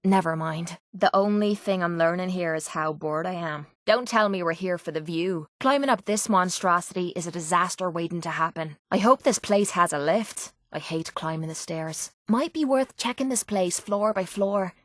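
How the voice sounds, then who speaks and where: A woman's voice: fairly low pitch, moderate pace, few pauses, fairly bright tone, dry recording, studio quality, close to an everyday voice. An actress playing a tough, sardonic young female brawler in a video game, with an Irish accent and a brash, earthy way of talking. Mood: scornful, sardonic. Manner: brash, sarcastic, earthy slang. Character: tough, foul-mouthed, sardonic female brawler with a violent streak. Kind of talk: video game character voice lines.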